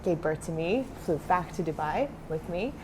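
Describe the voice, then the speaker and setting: A woman's voice: lowish voice, expressive, moderate pace, background noise. A young adult woman who grew up in Switzerland, talking casually as a startup founder in an interview, with many fillers.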